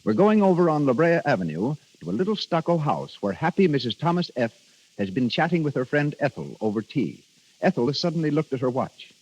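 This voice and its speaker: Lively, husky vintage radio announcer, a man. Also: fairly high pitch, a little fast. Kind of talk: 1930s scripted radio ad narration. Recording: dull old radio broadcast.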